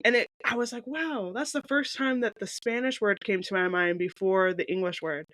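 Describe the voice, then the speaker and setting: A woman telling casual personal stories in an animated way, acting out her own reactions as she goes. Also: mid-range voice, moderate pace. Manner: animated, acts out reactions as quoted speech. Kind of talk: casual conversational storytelling.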